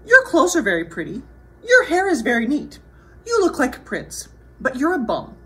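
A woman voicing a bold, clever young storybook princess, eager and emphatic in her flattery. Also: high voice, moderate pace, pitched above the performer's own voice, full character voice. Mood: cool, scornful.

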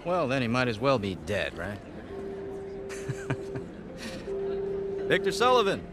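An actor playing a smug, slick rival treasure hunter in a video game. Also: male voice, mid-range voice, wildly swooping pitch, slow pace. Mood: smug, effusive. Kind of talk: naturalistic video game acting. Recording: loud music behind the voice.

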